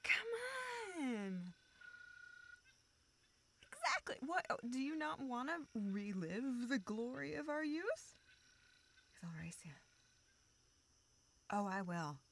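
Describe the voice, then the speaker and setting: Woman acting playful, high-voiced, expressive young friend. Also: creaky, slight background noise, exaggerated voice. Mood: teasing, playful. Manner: teasing, giggly, expressive, halting natural phrasing. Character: playful, teasing young woman, affectionate close friend of listener. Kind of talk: intimate roleplay audio acting, addressed to listener.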